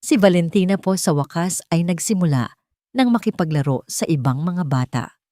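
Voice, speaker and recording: A woman narrator plays an upbeat, polite kindergarten director in an audio drama. The delivery is enthusiastic, the sound mellow and dry. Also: fairly low pitch, moderate pace, no echo, natural voice. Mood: excited, reporting. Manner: lively, enthusiastic. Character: cheerful, polite female kindergarten director on the phone.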